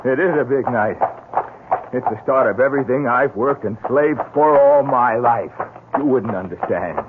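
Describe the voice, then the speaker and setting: A man's voice: mid-range voice, normal speed, natural voice. A man playing a short, arrogant young concert pianist in an old-time radio drama, boastful, scornful and theatrical. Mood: gloating, bitter. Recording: noisy, narrow-band old radio recording.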